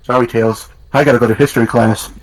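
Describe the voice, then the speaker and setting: A man's voice: medium pitch, fairly even intonation, quick pace, voice pushed down, lightly acted, low-quality recording. An embarrassed teenage hedgehog boy from a cartoon fan drama, voiced by a man. He speaks awkwardly to his school friends. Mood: sullen, hurt.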